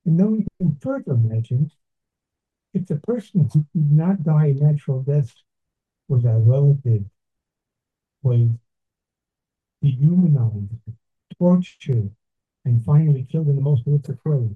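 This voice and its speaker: An older man from Brooklyn, giving a slow, deliberate, reflective talk with pauses. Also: on the high side, expressive, husky. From Brooklyn, New York. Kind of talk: measured reflective address. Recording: tinny phone-like sound.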